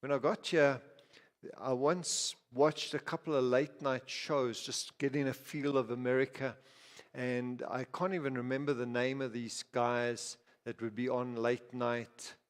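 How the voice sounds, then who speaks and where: A male pastor from Zimbabwe delivers a conversational sermon, circling back with restarts and asides. His voice sits at an ordinary middle pitch. The sound carries a long reverb from a large church hall. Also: level tone, unhurried.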